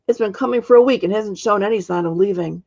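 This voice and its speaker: A grumbling, very old storybook witch, as a woman reader puts her on, husky and creaky. She is blunt and spry, always complaining, heard through slightly muffled audio. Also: medium pitch, fast, darkish tone. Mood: exasperated. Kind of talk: storybook character reading.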